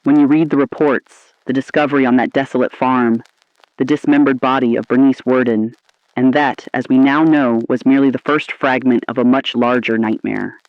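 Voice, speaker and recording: A man playing an ominous, appalled 1950s radio anchor, delivering scripted lines in a grave, deliberate, theatrical style. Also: medium pitch, fairly even intonation, no echo, dull audio, natural voice. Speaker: radio host.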